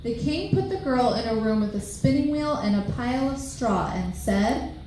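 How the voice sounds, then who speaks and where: A woman's voice: fairly high pitch, animated, moderate pace, dark tone. A woman narrator reading a children's tale aloud with storytelling expression, in a very echoey room with faint noise.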